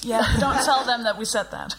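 A woman's voice: a little high, moderate pace, creaky, background noise. A woman comedian, speaking English casually as a podcast co-host. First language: Danish. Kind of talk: casual comic banter.

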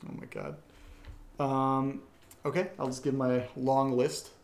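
A man hosts a casual podcast Q&A in a roomy, echoing space. His voice sits at an average pitch as he reads out questions and chats informally. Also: sing-song, slow, vocal fry. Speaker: podcast host. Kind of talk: casual chat, reading listener questions. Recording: echoey room.

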